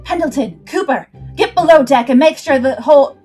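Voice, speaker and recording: A fierce female pirate captain from an adventure storybook , as a woman narrator plays her. Her voice is fairly high, with a sharp, commanding, authoritative edge, and music plays softly behind. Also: expressive, moderate pace. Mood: urgent, commanding.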